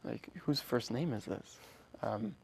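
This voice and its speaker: A young man whose first language is Polish, speaking as a guest in an interview, earnest and halting with many restarts. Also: mid-range voice, lively intonation, vocal fry, a little mellow, husky. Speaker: orchestra founder, guest. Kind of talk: interview conversation.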